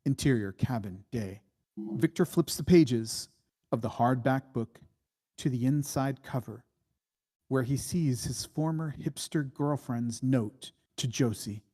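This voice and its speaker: A man reading screenplay stage directions as dramatic narration, in a deliberate, suspenseful way. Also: medium pitch, warm tone, slightly rough.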